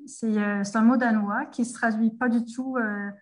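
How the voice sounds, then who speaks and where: A woman's voice: fairly high pitch, fairly even intonation, normal speed, poor audio. A middle-aged woman from Denmark, speaking French as a guest in a casual remote interview, hesitant and full of fillers and restarts. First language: Danish.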